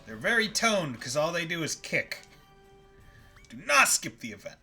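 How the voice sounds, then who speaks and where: A man's voice: fairly high pitch, big pitch swings, moderate pace, pushed and bright. A man streaming a video game with casual, playful commentary, profane and full of jokey asides. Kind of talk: casual live gameplay commentary. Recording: faint game music behind.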